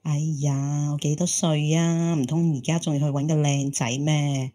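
A woman's voice: sits low, steady tone, moderate pace, mellow, lightly acted. An actress playing a hopeful, romantic single woman in her thirties in an audio drama, teasing and exclaiming. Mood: hopeful, defensive. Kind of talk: Cantonese audio drama acting.

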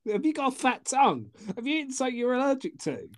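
A woman's voice: high-pitched, very animated, quick pace, a little hiss or hum. A woman co-hosting a podcast in casual chat, teasing her partner and laughing often. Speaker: podcast co-host.

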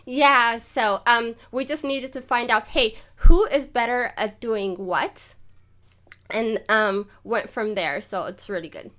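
A woman with a fairly high voice speaks as a photographer guest on a podcast. Her talk is casual and lively, and she laughs readily. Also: slow pace. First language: not German; lives and works in German. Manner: lively, chatty, laughs easily. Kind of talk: casual interview chat.

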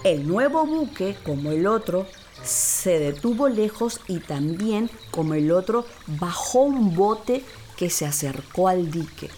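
A woman narrating a children's story in an expressive, deliberate, theatrical way. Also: on the low side, faint music behind. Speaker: actress, storyteller.

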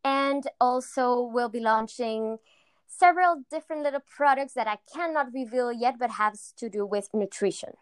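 Young Latin American woman founder, smooth voice. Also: on the high side, normal speed, no room sound. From Argentina. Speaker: founder of a fitness company. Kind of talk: interview answers.

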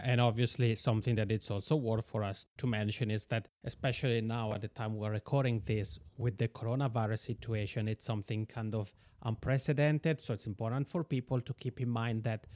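A man from Switzerland hosts an interview podcast at a mid-range pitch. He asks friendly, long questions, and his voice is recorded dry and close. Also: a little mellow, no echo. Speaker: podcast host.

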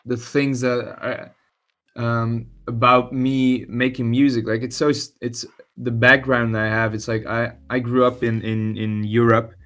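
A young man from Belgium with a Belgian Dutch accent, a musician talking casually as a podcast guest, halting with many fillers and restarts. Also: mid-range voice, flat delivery, a bit bright. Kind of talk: casual interview chat.

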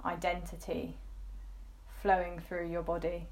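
Slow, even-toned woman guiding meditation. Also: on the low side. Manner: unhurried, gently coaxing phrasing with pauses. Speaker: meditation guide. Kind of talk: guided meditation, soft instruction.

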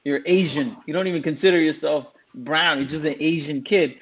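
A man's voice: on the high side, steady tone, a little fast, a bit bright, slightly rough, poor audio. A man in his forties, from Singapore, speaking as a guest in a frank, casual way with many fillers. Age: 40s. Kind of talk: casual interview answer.

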